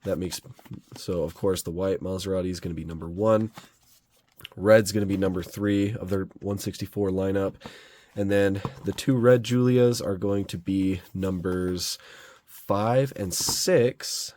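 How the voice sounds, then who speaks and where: A young man with a slightly raspy voice from a cold, casually reviewing diecast model cars in a relaxed, rambling way. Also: low voice, measured pace. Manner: relaxed, chatty, rambling with fillers.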